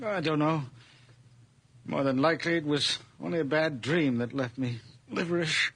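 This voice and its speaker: A world-weary old widower in a radio drama, frail and easily frightened. The voice is creaky and husky, with a tense, sharp edge. He speaks haltingly, sighing and groaning as he goes. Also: fairly high pitch, no room sound, full character voice. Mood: wistful, resigned. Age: older.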